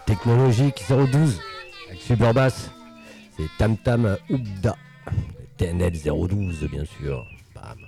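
A man hosting a casual radio interview show, relaxed and chatty. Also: fairly low pitch, measured pace, gravelly, faint music behind. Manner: relaxed, chatty, drops English yeses. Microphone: studio mic.

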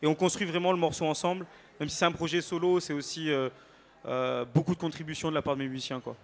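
A man's voice: a little high, fairly even intonation, fast talker. A male singer chatting casually as an interview guest on stage, informal and playful.

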